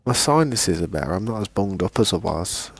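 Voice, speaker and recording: Low gravelly man chatting while gaming. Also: animated, a little fast, no room sound. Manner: rambling and laid-back, says "man" a lot. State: still has a lingering cough. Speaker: gaming streamer. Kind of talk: casual gameplay chat.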